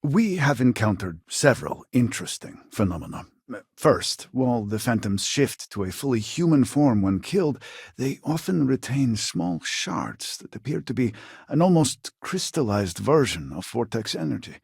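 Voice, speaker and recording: An actor playing a scholarly, earnest and weary researcher in a video game, speaking formally and precisely with a husky voice. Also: male voice, mid-range voice, big pitch swings, darkish tone, no room sound, clean studio sound, exaggerated voice. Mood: measured, formal. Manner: formal, measured, articulate, precise wording. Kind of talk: video game character dialogue.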